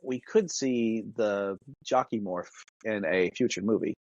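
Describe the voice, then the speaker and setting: A man's voice: on the low side, a little slow, darkish tone. A man chatting casually and tentatively, peppering his phrases with filler words, on a muffled recording. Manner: hesitant, frequent "like". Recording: dull, muffled microphone sound.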